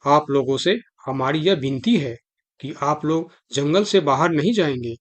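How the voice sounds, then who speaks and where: A man's voice: fairly high pitch, unhurried, lo-fi sound, lightly acted. A man voicing an authoritative, reassuring lion king in a Hindi children's cartoon, formal and commanding.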